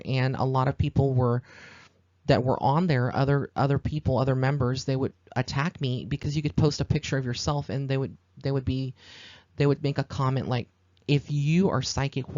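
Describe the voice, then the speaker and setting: A man's voice: mid-range voice, darkish tone, no echo, highs cut off. An American man from Texas hosting a podcast, speaking in an informal, earnest monologue, explaining at length in a rambling way. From Texas, United States. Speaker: psychic medium podcast host.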